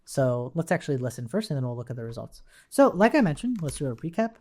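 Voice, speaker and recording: A man's voice: mid-range voice, sing-song, quick pace, a little mellow. Conversational man teaching a coding tutorial. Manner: conversational, thinks aloud, often checks with "right?". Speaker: instructor. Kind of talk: informal coding tutorial, explaining while demonstrating on screen.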